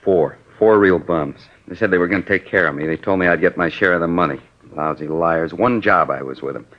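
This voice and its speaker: A man's voice: deep voice, brisk. A man playing a defensive ex-convict burglary suspect in a 1950s radio drama, bitter and sneering, on a dull old radio recording.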